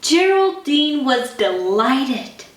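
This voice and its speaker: A woman's voice: sits high, big pitch swings, extremely slow. A woman reading a children's bedtime story as a scripted narration. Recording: roomy, distant mic, faint music.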